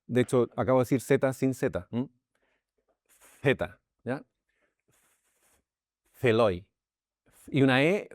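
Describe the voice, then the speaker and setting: A man's voice: mid-range voice, a little mellow, no room sound, studio quality. A man with a Chilean accent, a pastor giving an informal Bible lesson in a conversational way, often checking in with his listeners and restarting his sentences. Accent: Chilean Spanish. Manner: many restarts and repeated words. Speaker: pastor teaching a Bible class.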